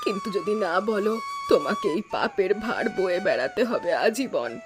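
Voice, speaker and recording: A woman voicing a sorrowful, guilt-laden old village mother-in-law in a cartoon melodrama, slow and resigned. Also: medium pitch, wildly swooping pitch. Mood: anxious. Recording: background music under the voice.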